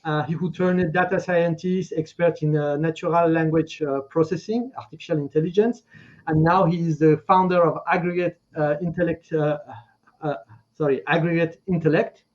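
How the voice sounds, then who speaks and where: A man hosting a live online interview, speaking haltingly and effusively, often repeating words. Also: fairly high pitch, steady tone, darkish tone. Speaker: interview host. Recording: dull, lo-fi call audio.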